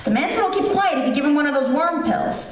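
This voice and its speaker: A woman's voice: high voice, expressive, fast. Woman playing a nagging wife, echoey and distant. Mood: dismissive, bossy. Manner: needling, insistent questioning. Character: nagging, scolding wife, insistent and dismissive. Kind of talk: old-time radio comedy sketch acting. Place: theater stage. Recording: distant, echoey theater live stream.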